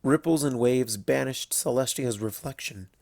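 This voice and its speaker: A man reading scripted fiction as a narrator, in a measured and deliberate way. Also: mid-range voice, creaky, a little mellow, no echo, studio quality. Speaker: audiobook-style fanfiction narrator.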